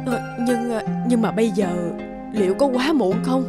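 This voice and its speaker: A woman playing a harried, indulgent and easily exasperated mother in an animated cartoon. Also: a little high, moderate pace, natural voice. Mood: anxious. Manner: fretful, exasperated. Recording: background music under the dialogue.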